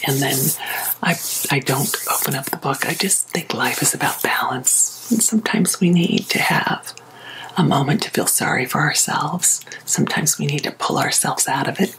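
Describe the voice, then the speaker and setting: A woman speaks in a hushed, breathy whisper, set low in pitch. She chats casually as an ASMR host would, gently and without hurry, as if confiding in the listener. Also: poor audio. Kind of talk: ASMR, casual rambling chat. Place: small sewing and craft room at home.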